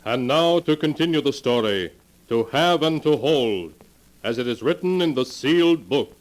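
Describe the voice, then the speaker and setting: An actor playing a grave, eerie storyteller, narrating a 1940s radio mystery in a solemn, measured way. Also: male voice, medium pitch, noisy background, natural voice. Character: grave, eerie male storyteller of horror tales. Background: music.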